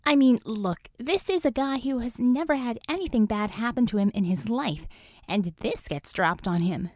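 A woman's voice: on the high side, normal speed, no echo, clean studio sound, pitched above the performer's own voice, natural voice. A woman voicing an outspoken, feisty young female superhero with a blunt, informal and emphatic way of talking in an audiobook. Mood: earnest, tentative.

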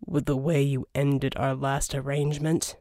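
A woman voicing a gruff, wary, sardonic male mercenary in an audiobook, muttering in a terse deadpan. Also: rumbling low, unhurried, dry recording, clean studio sound, voice pushed down. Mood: resentful, deadpan. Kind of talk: audiobook character dialogue.

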